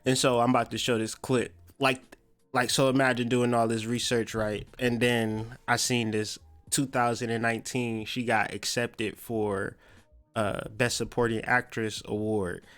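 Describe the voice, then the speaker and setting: A man's voice: mid-range voice, flat delivery, measured pace, a bit bright, faint music behind. A man hosting a podcast, mixing casual slangy commentary with reading aloud and repeating phrases for emphasis. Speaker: podcast host.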